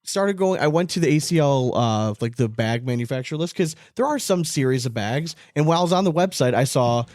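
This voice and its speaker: A man's voice: fairly high pitch, lively intonation, a little fast, a little mellow, no room sound, studio quality. An American man co-hosting a podcast in casual, rambling talk, often leaning on filler words like "like". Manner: rambling, informal, frequent "like". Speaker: podcast co-host. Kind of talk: casual chat.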